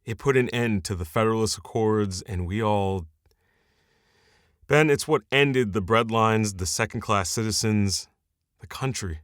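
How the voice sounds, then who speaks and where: An actor playing a steady, loyal male scientist in a naturalistic audio drama. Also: on the low side, unhurried, clear, resonant tone, lightly acted. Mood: grave. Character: steady, loyal scientist colleague, grounded and persistent.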